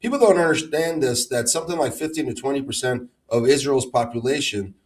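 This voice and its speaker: A man from Iran who now lives in the United States talks as a guest on a talk show, relaxed and emphatic, often repeating words as he starts a point. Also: mid-range voice, brisk, recorded from a distance, noisy background. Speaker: guest, former congressional candidate. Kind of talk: casual interview talk.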